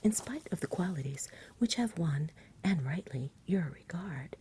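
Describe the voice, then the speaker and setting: A woman voicing a courteous, melancholy knight with formal speech, whispered softly in an ASMR storybook reading. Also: fairly low pitch, lively intonation, measured pace, a little hiss or hum. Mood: grave, courteous. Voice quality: soft, whispery. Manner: formal, grave, good-natured.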